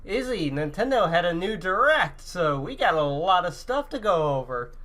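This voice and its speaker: Casual male gaming podcaster, slightly high voice. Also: big pitch swings, moderate pace, bright, forceful tone.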